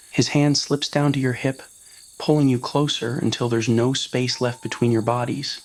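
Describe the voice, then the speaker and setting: A man reading a scripted romantic roleplay story as narrator, in an ASMR audio style. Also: mid-range voice.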